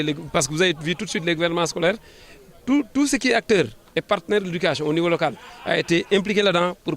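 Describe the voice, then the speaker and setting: A man with a Senegalese accent answering an interview as a government official, in formal administrative phrasing, often halting and repeating words. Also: a little high. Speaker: government official, sub-prefect.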